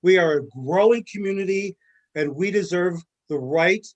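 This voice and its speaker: Higher-voiced man speaking against. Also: moderate pace, muffled recording. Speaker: meeting member. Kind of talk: short speech against.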